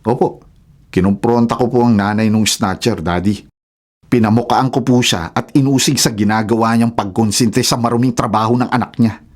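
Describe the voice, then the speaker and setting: A man's voice: medium pitch, fairly even intonation, moderate pace, natural voice. A man acting as an indignant, righteous husband in a Filipino radio drama. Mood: respectful.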